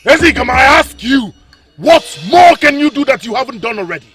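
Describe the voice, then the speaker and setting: A man's voice: lowish voice, normal speed, a bit bright, slightly rough, distant mic, soft background music. An actor playing a defiant, heartbroken prince who accuses the king. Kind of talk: film drama acting.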